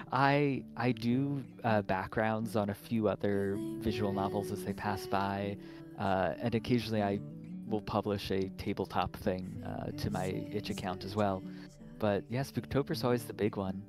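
A man's voice: fairly low pitch, fairly even intonation, measured pace. A man speaking as a game developer guest in a casual Q&A over an online call. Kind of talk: casual chat in a Q&A. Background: guitar music.

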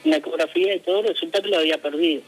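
A man calling in to a radio show, telling his story slowly with many fillers. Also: high-pitched, breathy edge, soft background music, low-quality recording. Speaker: caller. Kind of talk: radio call-in.

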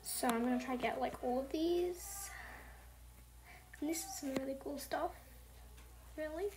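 Soft-spoken young woman, half-whispering. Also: high-pitched. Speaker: gaming channel host. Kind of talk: casual gameplay commentary. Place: home with family noise. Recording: noisy home recording.

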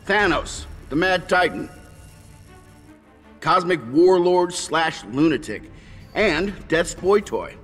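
A man's voice: high-pitched, expressive, slow pace, long pauses, fairly bright tone, full character voice. An actor voicing a wisecracking male mercenary who talks in a jokey, sarcastic way, in a game cutscene. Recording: game cutscene, reverberant, music.

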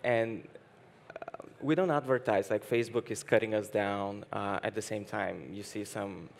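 A man's voice: lowish voice, steady tone, normal speed, vocal fry, a little hiss or hum. A young man from Bulgaria speaking frankly on a conference panel, with many hesitations and restarts. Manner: frank, hesitant restarts, laughs while talking. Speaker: panelist, dating app founder. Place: stage at a conference hall.

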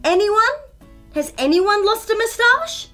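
A woman voicing an eager, curious, excitable little girl detective in a children's storybook. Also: very high voice, measured pace, soft background music, pitched above the performer's own voice, heavily acted. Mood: searching, exasperated. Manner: excitable, wondering.

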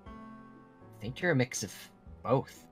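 A man's voice: medium pitch, lively intonation, takes it slowly. A young man playing a protective, earnest teenage werewolf friend in a Minecraft roleplay drama, speaking in broken, dramatic phrases. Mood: puzzled. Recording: music behind the voice.

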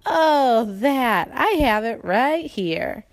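A woman's voice: a little high, big pitch swings, very slow, dry recording, pitched above the performer's own voice, heavily acted. A woman as a shrewd, coy schoolgirl. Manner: coy, unyielding.

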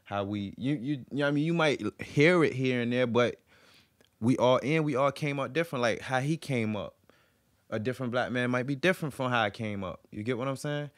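A man's voice: mid-range voice, a little fast, dry recording. A young man chatting casually as a podcast guest, rambling in slang and repeating himself, close on the mic. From Virginia, US. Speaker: podcast guest, recording artist. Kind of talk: casual chat. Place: small podcast room with couches.

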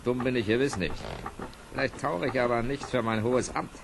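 A man's voice: medium pitch, fairly even intonation, normal speed, gravelly, lightly acted. An actor playing a nervous, overeager general hiding his doubts in a fairy-tale audio drama. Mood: worried muttering. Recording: noisy old cassette.

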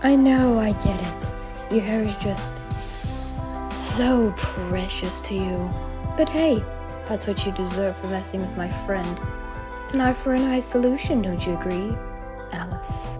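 A woman's voice: mid-range voice, unhurried, over music, lightly acted. Woman as cool, sarcastic pegasus mare. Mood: mocking. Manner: mock-innocent, dry taunting. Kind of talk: animated fan audio drama.

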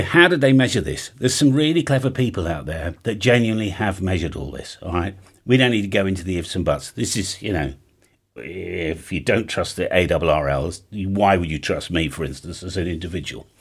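A man's voice: low voice, breathy edge. A man in his fifties giving an informal technical explanation, conversational, with emphatic asides and frequent check-ins with the listener. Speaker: amateur radio video presenter. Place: indoor home studio.

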